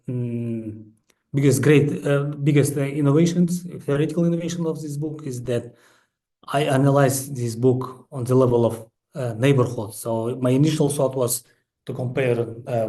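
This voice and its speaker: Halting, mellow non-native English-speaking man. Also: mid-range voice. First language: not English. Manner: halting, frequent hesitations and pauses. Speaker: researcher and book author presenting his study. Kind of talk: academic presentation with slides.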